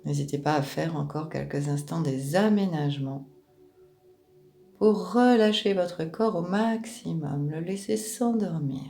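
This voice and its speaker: A woman guides a relaxation meditation in a low, mellow voice. She speaks very slowly and gently, leaving space between short, soothing instructions. Music plays behind her. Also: gravelly. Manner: calm, gentle, unhurried phrasing with pauses.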